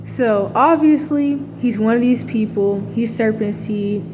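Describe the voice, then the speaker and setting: A woman's voice: on the high side, a little slow, background noise. A woman talking in a casual, rambling monologue, full of fillers and restarts. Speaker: vlogger.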